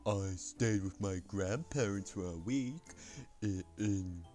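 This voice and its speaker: A man's voice: fairly low pitch, slow pace, warm tone, faint music behind. A man voicing a shy, timid little schoolgirl in a visual novel, hesitating and stammering.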